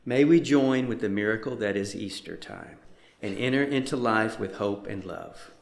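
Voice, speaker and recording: A man giving a measured, solemn liturgical reading as a lay reader at a worship service. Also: on the low side, vocal fry. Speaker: worship reader lighting the chalice. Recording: echoey, roomy sound.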